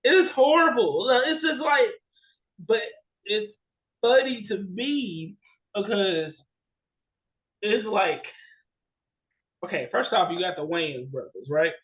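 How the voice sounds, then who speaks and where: Guy w a pretty high voice just chatting on a podcast, rambly and animated. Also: takes it slowly, pauses often, phone-quality sound. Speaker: podcast co-host. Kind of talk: casual chat about bad movies.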